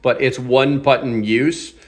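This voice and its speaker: A man's voice: mid-range voice, fairly even intonation, unhurried, a little hiss or hum. A man hosting a casual hands-on product review, talking in an informal, off-the-cuff and self-deprecating way. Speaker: host of a gear review channel.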